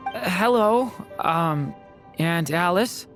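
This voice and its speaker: A woman voicing a gentle, shy young boy in a video game, earnest and hesitant, speaking softly. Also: on the low side, lively intonation, slow pace. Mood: unsure. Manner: soft-spoken, hesitant. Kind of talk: video game character acting. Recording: game music behind the voice.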